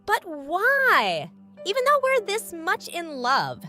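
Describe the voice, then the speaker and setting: Bright, animated teenage rich girl acted by a woman. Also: very high voice, takes it slowly, faint music behind, exaggerated voice. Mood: insistent, affectionate. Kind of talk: anime-style romantic comedy dub.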